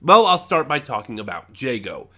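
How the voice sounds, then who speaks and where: Bright-voiced man giving a halting review. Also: medium pitch, big pitch swings. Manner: halting, trails off and regroups mid-thought. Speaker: online reviewer. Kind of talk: casual unscripted audio drama review.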